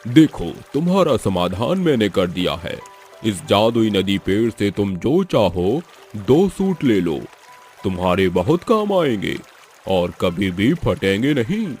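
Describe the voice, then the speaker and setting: Man voicing a deep, slow magical talking tree. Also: sing-song, a little mellow, faint music behind, heavily acted. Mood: calm, reassuring. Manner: slow, solemn, reassuring. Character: kindly magical river tree granting gifts, male. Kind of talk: Hindi animated moral story.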